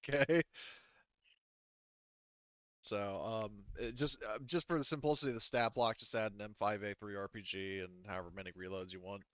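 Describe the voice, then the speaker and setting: A man with a fairly low, smooth voice runs a tabletop roleplaying game as game master. He thinks out loud in a halting, casual way. The audio is dry and slightly dull. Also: steady tone, dry recording. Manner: halting, thinking aloud while running the game.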